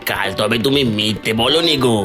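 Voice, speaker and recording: A man's voice: mid-range voice, moderate pace, lightly acted. A man playing a friendly, amazed village neighbour in a cartoon folk tale, marvelling as he talks. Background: background music.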